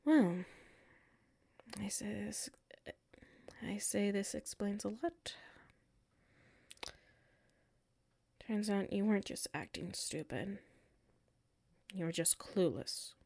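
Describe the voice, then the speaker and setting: A woman's voice: medium pitch, expressive, slow, gravelly, long pauses, a little mellow, breathy edge, heavily acted. A woman playing a hurt, defensive tsundere coworker with a secret crush in a roleplay audio, exasperated and emphatic, dropping into sulky murmurs. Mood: deflated, sheepish. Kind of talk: roleplay audio acting, one side of a conversation.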